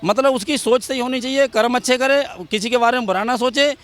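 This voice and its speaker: Man, very high pitch, emphatic, repetitive, street vox pop, outdoors. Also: brisk, fairly bright tone. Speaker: member of the public giving opinion. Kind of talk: street interview opinion.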